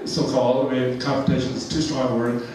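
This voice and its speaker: A man's voice: medium pitch, level tone, fast talker, noisy background. Older man talking in an echoey hall. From Georgia, USA. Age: 70s. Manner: rambling, many fillers, chuckles often. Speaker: author giving a talk. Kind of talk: informal lecture with slides. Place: large church hall. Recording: echoey hall, mic far off.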